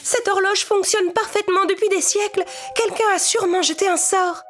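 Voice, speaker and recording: A woman's voice: very high voice, steady tone, normal speed, a bit bright, faint music behind. A woman voicing a small, kind, worried talking mouse in a fairy-tale cartoon, earnest and full of wonder.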